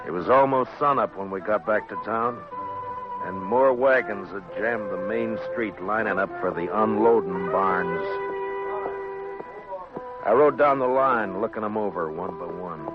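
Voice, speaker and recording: An actor playing a world-weary, commanding marshal with a terse, measured manner in a radio western. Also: male voice, lowish voice, lightly acted. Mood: grim, narrating. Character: stern, world-weary male frontier marshal, calmly commanding. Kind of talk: 1950s radio western acting and narration. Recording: muffled 1950s radio recording with music.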